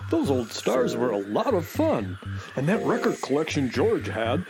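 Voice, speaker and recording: A man's voice: fairly high pitch, huge pitch swings, measured pace, a crowd in the background, heavily acted. A man voicing Briscoe the Space Horse, a friendly talking horse with an easygoing, chatty, enthusiastic way of talking. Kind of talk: children's radio drama. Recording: music playing behind.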